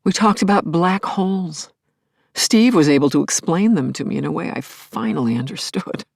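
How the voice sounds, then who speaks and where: Wistful widow in a dry close recording. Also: female voice, low voice, lively intonation, moderate pace, vocal fry, darkish tone, no room sound, exaggerated voice. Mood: animated, reflective. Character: grieving widowed scientist, wistful, wry, tender, anxious. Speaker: actor in an audio play. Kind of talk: intimate dramatic first-person monologue.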